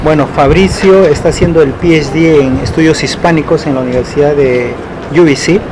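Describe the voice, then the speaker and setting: A man interviewing a guest in a casual, friendly way, with quick prompts. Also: fairly high pitch. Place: outdoors in a city. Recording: outdoor street noise.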